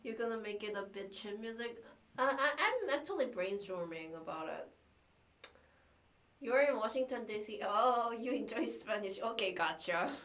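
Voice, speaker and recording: A young woman whose first language is Korean, sick with the flu, chatting with livestream viewers in a small room, halting and restarting often with light laughs. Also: fairly high pitch, animated, fairly bright tone. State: has the flu. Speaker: cellist streamer. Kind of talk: casual livestream chat reading viewer messages. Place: small practice room indoors.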